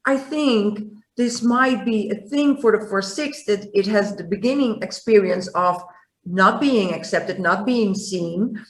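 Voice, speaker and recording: A woman hosting an interview, reflecting slowly and haltingly as she thinks aloud. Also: mid-range voice. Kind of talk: reflective conversation. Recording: echoey room.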